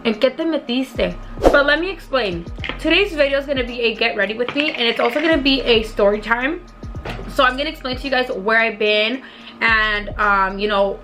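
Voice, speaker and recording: A hoarse young woman who has partly lost her voice tells a rambling, chatty storytime to her viewers as a beauty vlogger. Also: a little high, bright, forceful tone. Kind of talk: casual storytime vlog.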